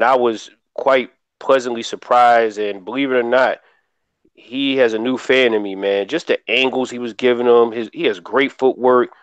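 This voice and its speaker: A man's voice: mid-range voice, smooth, no echo. A man giving casual sports commentary as a panelist, in a colloquial way that often tags sentences with "man". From Philadelphia. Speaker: boxing show panelist. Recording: dry, slightly dull lo-fi audio.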